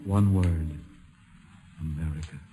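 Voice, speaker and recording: A man narrating a 1950s radio drama, solemn and poetic with deliberate phrasing. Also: rumbling low, fairly even intonation, music in the background.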